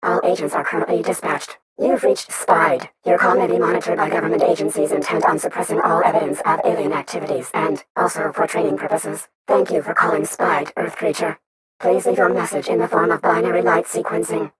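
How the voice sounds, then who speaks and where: A woman voicing a deadpan recorded phone operator for an alien-investigation agency. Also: low-pitched, a little fast, a loud crowd, natural voice, poor audio. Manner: deadpan, recorded-message delivery. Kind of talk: game voice acting.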